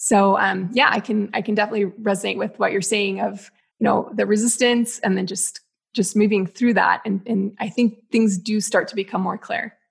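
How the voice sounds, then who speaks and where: Lady on a podcast, spanish is her first language, gravelly voice, lots of "like" and "um". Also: medium pitch, steady tone, moderate pace. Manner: reflective, many "like" and "um" fillers. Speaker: guest, business mentor. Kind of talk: casual podcast conversation.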